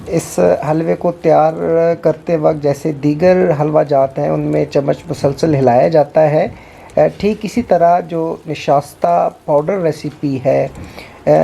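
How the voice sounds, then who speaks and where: A man's voice: a little high, creaky, mellow. A man hosting a cooking show. He explains each step steadily and repeats his tips. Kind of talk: cooking demonstration. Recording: echoey with faint noise.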